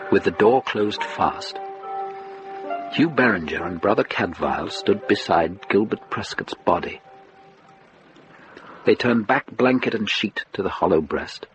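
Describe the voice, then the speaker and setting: A man giving scripted dramatic narration in a measured, grave manner. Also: lowish voice, rough recording.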